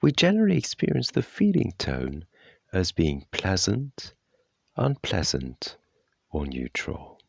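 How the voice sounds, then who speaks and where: Very deep, creaky male meditation guide. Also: huge pitch swings, dry recording, muffled recording. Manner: gentle, unhurried, measured phrasing. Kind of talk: guided body scan meditation, slow instructions with long pauses.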